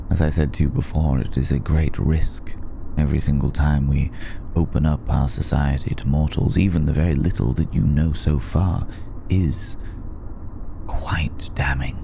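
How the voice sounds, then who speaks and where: A man playing an elegant, centuries-old vampire in an ASMR roleplay, speaking with measured, darkly polite menace and weary hesitation. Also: background noise, full character voice. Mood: pensive, wistful. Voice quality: very deep, gravelly, dark. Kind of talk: slow intimate ASMR roleplay addressed to listener.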